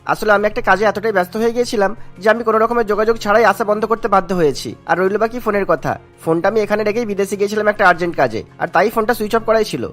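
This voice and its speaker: A man's voice: sits high, racing, a bit bright, faint music behind. A man voicing an earnest, apologetic young man in a cartoon, explaining himself and pleading.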